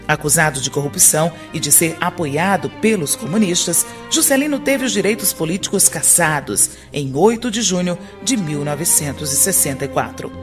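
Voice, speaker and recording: A woman narrating a radio drama in a steady, informative way. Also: lowish voice.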